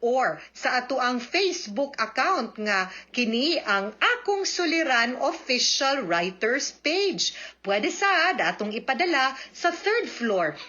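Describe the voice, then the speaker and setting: A woman's voice: high voice, big pitch swings, slow pace, bright, forceful tone. A woman with a Cebuano accent, a lawyer hosting a radio advice show, chatting with listeners and slipping into English. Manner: chatty, mixes English into her talk. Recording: muffled radio broadcast.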